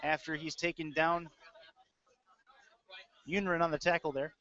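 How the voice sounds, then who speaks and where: Man, bright higher-pitched voice, live football play-by-play, clipped calls, casual asides, dull lo-fi audio. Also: level tone, a little slow. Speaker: play-by-play sports commentator. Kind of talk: live American football commentary. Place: indoor audio-only webcast.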